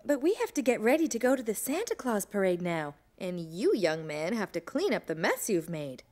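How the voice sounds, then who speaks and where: A woman's voice: mid-range voice, very animated, moderate pace, darkish tone. A woman voicing a patient, kindly but firm mother in a preschool cartoon. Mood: playfully firm. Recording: faint music behind.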